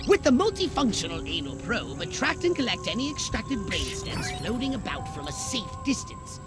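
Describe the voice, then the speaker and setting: A scheming alien overlord, speaks in a high, theatrical voice that swoops with grandiose self-importance. It is megalomaniacal video game villainy delivered over loud music. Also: male voice, very animated, normal speed. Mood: officious. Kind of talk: video game cutscene acting.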